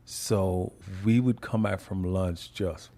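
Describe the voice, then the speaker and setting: Gravelly, mellow-voiced man from Jamaica. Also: deep voice, slow pace. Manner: relaxed storytelling, laughs often. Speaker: podcast guest. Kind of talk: casual interview chat.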